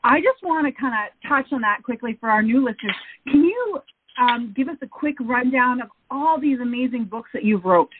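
A woman's voice: fairly high pitch, animated, normal speed, slightly rough. A woman hosting an interview show, talking enthusiastically and effusively. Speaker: show host. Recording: phone-like narrow audio.

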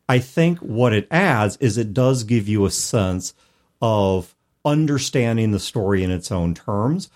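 A man's voice: on the low side, expressive, unhurried, darkish tone. A man hosting a casual podcast discussion, speaking in an analytical, articulate way and explaining his points through examples. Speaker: podcast host discussing storytelling in role-playing games.

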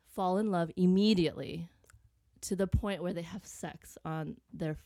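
A woman's voice: lowish voice, a little slow, mellow, dry recording, clean studio sound. A woman speaking as a podcast guest, telling personal stories in a candid, casual way. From Vietnam.

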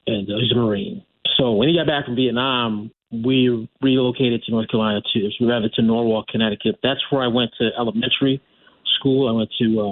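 A man's voice: medium pitch, no echo. A middle-aged man talks casually as a radio guest, circling back and correcting himself as he goes. His voice comes through a thin, narrow phone line. The sound is dry, with no room around it. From United States. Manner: rambling with restarts and self-corrections. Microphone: telephone.

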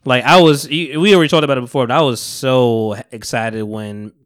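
A man's voice: medium pitch, dry recording, clean studio sound. A man in his thirties hosting a casual podcast chat, playful and curious, firing off questions. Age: 30s. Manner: playful, curious, quick questions, swears freely. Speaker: podcast host.

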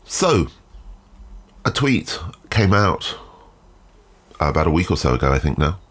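A man hosting a solo photography podcast, sounding nasal and congested from a cold, speaking in a measured, thoughtful way with frequent ums. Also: a bass voice, big pitch swings, fairly bright tone, breathy edge. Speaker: podcast host. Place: garden shed.

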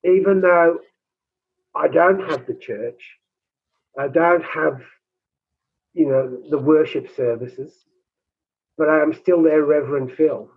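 Squeaky-voiced man on a tinny line. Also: breathy edge. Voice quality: high, squeaky voice. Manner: halting, many restarts and repeated words. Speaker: rural minister. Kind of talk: conversational interview.

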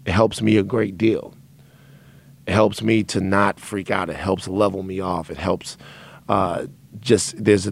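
A man hosting a podcast, talking candidly in a loose, rambling way with a raspy voice from a dry throat. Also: low voice. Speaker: podcast host. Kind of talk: casual monologue to listeners.

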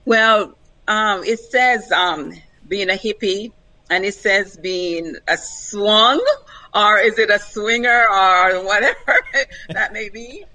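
A woman's voice: mid-range voice, slow, bright, forceful tone, no room sound, poor audio. An older woman speaking casually and personally as a guest on a panel discussion.

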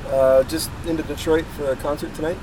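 A young man with an excitable manner, chatting and commentating casually as he records an audio travel log. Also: a little high, a little fast. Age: young adult. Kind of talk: casual chat and field commentary. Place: on location during a road trip. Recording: field recording, music and traffic behind.

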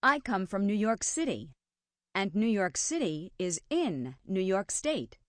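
A woman's voice: mid-range voice, big pitch swings, measured pace, no echo. An American woman hosting a radio show in scripted English-teaching dialogue.

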